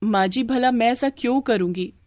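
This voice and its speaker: A woman's voice: a little high, moderate pace, darkish tone, dry recording, studio quality, voice pushed up, natural voice. A woman voicing a sensible, teasing young sister-in-law in a cartoon, with a wry, reasoning manner. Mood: calm, protesting.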